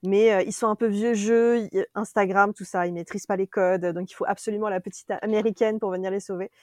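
A French woman co-hosting a casual podcast, chatty and animated, laughing often as she reviews a series. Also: medium pitch, fast, no echo, studio quality. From France.

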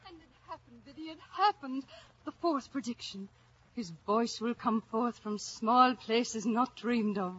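A woman's voice: on the high side, a little slow, a bit bright, slightly rough. An actress playing a romantic, eager young Irish village woman in a 1950s radio drama. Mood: wistful. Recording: dull, lo-fi 1950s radio recording.

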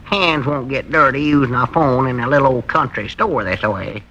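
An actor playing a grumbling, excitable country storekeeper in a 1940s radio comedy, gravelly and sarcastic, with a rural Southern American accent. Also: male voice, medium pitch, moderate pace. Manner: muttering, sarcastic. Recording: noisy vintage 1940s radio recording.

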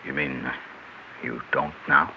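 A man's voice: very deep voice, fairly even intonation, unhurried, vocal fry, heavily acted. An American man acting in 1960s radio drama as a weary, persuasive, scheming unfaithful husband. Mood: pleading, tender. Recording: muffled, hissy 1960s radio recording.

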